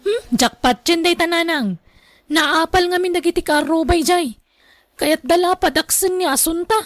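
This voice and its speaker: A woman's voice: very high voice, normal speed, no room sound, studio quality. A woman acting in a radio drama as a gossipy younger woman, chatty and complaining, with a Filipino accent. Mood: complaining, tattling. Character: gossipy younger woman tattling to her mother.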